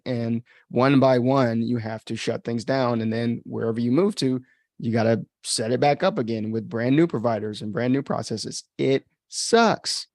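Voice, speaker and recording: A man's voice: mid-range voice, darkish tone, no room sound, studio quality. A man chatting informally as a podcast host, rambling through his commentary with frequent filler words and some mild swearing. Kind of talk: casual chat, commentary.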